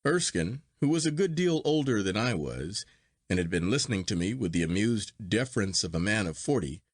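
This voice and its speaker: A man reading a literary story as an audiobook narrator, in a measured and expressive manner. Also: lowish voice, no room sound, studio quality.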